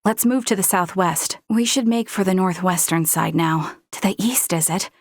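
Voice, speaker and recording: A woman's voice: on the low side, fairly even intonation, moderate pace, no room sound, clean studio sound. An actress playing an earnest, polite and conscientious young female Federation officer in a video game. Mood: brisk, determined. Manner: polite, conscientious, sometimes hesitant.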